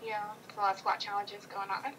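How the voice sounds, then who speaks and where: A woman's voice: mid-range voice, flat delivery, a little fast, noisy background. A woman guest on a casual video call, chatting about improv in a bubbly, playful way with frequent giggles.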